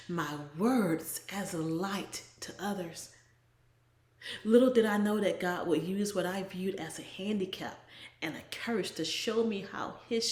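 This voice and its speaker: A woman giving an earnest, measured inspirational talk as a devotional blogger, often trailing off soft and breathy at the ends of phrases. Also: mid-range voice. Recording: echoey room.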